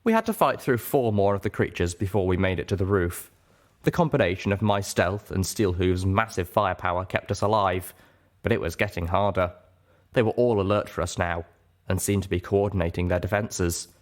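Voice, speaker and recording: A man's voice: low-pitched, a little fast. A man reading scripted fiction aloud as a dramatic audiobook narrator.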